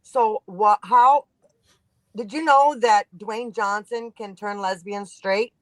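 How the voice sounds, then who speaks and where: A man's voice: high-pitched, fairly even intonation, slow, no echo, poor audio. A man on a casual radio chat show, setting up and delivering a joke.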